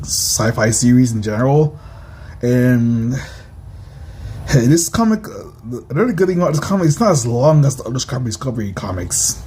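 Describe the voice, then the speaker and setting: Mellow, rambling man with lively pitch. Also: husky. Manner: rambling, hesitant. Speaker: comic creator and reader. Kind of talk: casual commentary while reading own comics.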